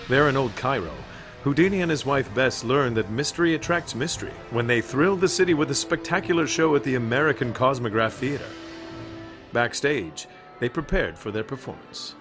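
A man acting as a suave, dramatic old-time radio host, narrating with polished, deliberate delivery. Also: mid-range voice, natural voice. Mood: storytelling. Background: music.

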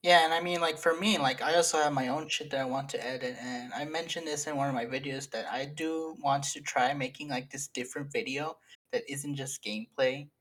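A man's voice: medium pitch, steady tone, fairly quick, a bit bright. Young male co-host, casual, rambling delivery with frequent laughs and fillers, mid-range voice. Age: young adult. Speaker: podcast co-host and editor. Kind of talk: casual chat among friends.

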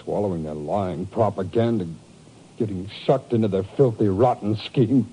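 An actor playing a bitter, fearful, disillusioned turncoat agent with an agitated, self-mocking manner in 1950s radio drama style. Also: male voice, fairly low pitch, moderate pace, mellow, natural voice, poor audio. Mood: bitter regret. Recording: old radio recording, faint noise.

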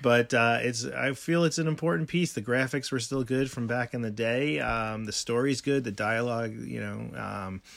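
A man's voice: medium pitch, fairly even intonation, normal speed, gravelly, hardly any pauses, fairly bright tone. A middle-aged man co-hosting a casual home podcast, rambling with many ums and restarts. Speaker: podcast co-host.

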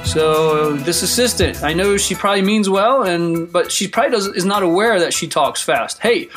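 A podcast host giving casual, encouraging advice in an earnest, emphatic way, speaking at a middle pitch. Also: animated, moderate pace, over music. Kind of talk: casual motivational advice talk.

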